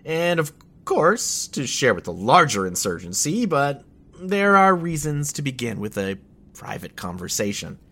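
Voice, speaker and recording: A man's voice: mid-range voice, big pitch swings, unhurried, pushed and bright, exaggerated voice. A man acting a friendly, digressive anarchist botanist in a sci-fi audio drama, wordy and jovial. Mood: chatty, genial.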